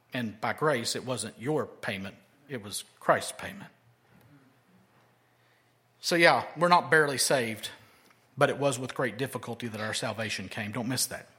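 Bright-voiced male pastor preaching. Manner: dynamic preaching, swings from loud emphasis to soft low asides. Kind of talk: expository sermon.

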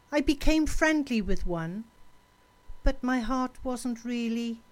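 A woman playing a grieving, lonely sister of a dead soldier, recalling the past slowly and wistfully in a radio drama. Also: on the high side, a little mellow, poor audio. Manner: slow, wistful recollection. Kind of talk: radio play monologue.

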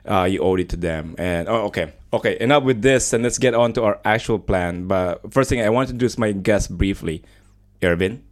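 A man's voice: on the low side, brisk, hardly any pauses. A man hosting a live student radio show in a studio, chatting casually with many small hesitations. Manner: chatty, hesitant with frequent fillers. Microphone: studio microphone.

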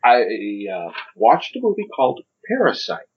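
A man's voice: mid-range voice, huge pitch swings, normal speed, slightly rough, muffled recording. A man co-hosting a podcast, chatting casually about films in an easygoing, agreeable way. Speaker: podcast co-host. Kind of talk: casual film chat.

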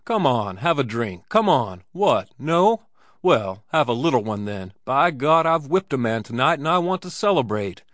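A man voicing a burly, boastful, drunken saloon bully in an audiobook, loud and insistent. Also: medium pitch, expressive, a little slow, hardly any pauses, dry recording, studio quality, lightly acted. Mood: boisterous, boastful. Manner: loud, insistent, blustering exclamations. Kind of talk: dramatized audiobook character.

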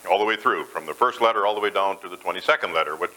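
A man's voice: low voice, very fast, bright, forceful tone, low-quality recording. A man preaching a sermon in a church, folksy and self-deprecating as he mixes jokes with scripture. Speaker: pastor.

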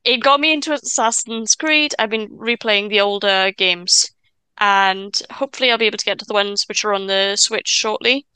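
Welsh girl in her 20s, stutter, super bright crisp voice, dry room, chatty. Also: mid-range voice, no room sound, rough recording. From Wales. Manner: stammers and restarts, stutter; chatty, quick asides and laughs. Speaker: podcast guest. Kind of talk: casual chat.

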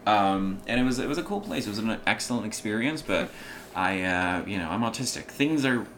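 A man from Afghanistan telling his story as a guest in a casual podcast chat, animated and full of restarts. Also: on the low side, brisk, slight background noise.

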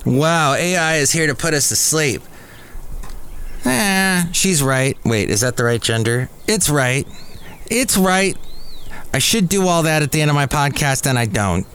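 A man's voice: fairly high pitch, lively intonation, unhurried, fairly bright tone. Jokey male podcaster with noisy background. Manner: rambling, jokey asides. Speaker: podcast host. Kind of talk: casual solo podcast chat.

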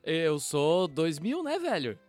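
Brazilian guy late 20s, real high voice, slangy jokey cohost banter, dry sound, bit of music under. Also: huge pitch swings, takes it slowly, darkish tone, no room sound. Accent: Brazilian Portuguese. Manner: brash, slangy, joking. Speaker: podcast co-host. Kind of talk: casual banter.

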